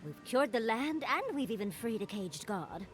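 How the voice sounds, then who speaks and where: A woman's voice: on the high side, sing-song, moderate pace, faint music behind. A woman playing a wry, self-assured, scholarly adventuring companion in a video game. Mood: wry, pleased. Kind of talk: video game cutscene dialogue.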